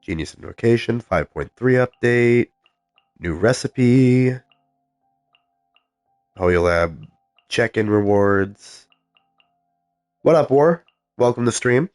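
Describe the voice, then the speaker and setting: A man's voice: mid-range voice, expressive, slow, long pauses, no room sound, studio quality, faint music behind. A man streaming a video game live, talking casually with a stuffed-up cold voice and lots of ums as he reads out the game's menus. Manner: soft-spoken, many ums, reads game text aloud.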